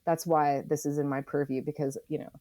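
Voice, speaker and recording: An American woman from Oregon explaining her work as an interview guest in a reflective, conversational way, emphatic and often pausing to think aloud. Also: deep voice, flat delivery, warm tone, no room sound. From Oregon, United States. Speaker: interview guest, author and geologist.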